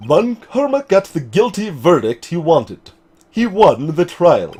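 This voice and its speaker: A man voicing a grave older lawyer in a video game, speaking in a measured, formal way. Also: on the high side, big pitch swings, a little mellow, husky, heavily acted. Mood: solemn, explanatory. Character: grave, formal older male lawyer recounting old cases.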